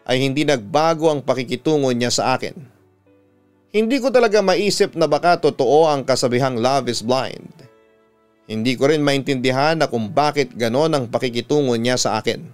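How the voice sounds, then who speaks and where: A Filipino man narrates a scripted story in the style of a radio announcer. His voice is smooth and clean, at a middling pitch, with a measured, dramatic delivery. Instrumental music plays softly behind him. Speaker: radio announcer and host reading listener stories.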